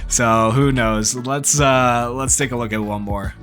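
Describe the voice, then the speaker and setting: A man with a mid-pitched voice delivers gaming news in the casual style of a YouTuber. He talks informally and speculatively, rambling through his thoughts as he goes. Music plays behind his voice. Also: fairly even intonation, unhurried, fairly bright tone. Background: background music.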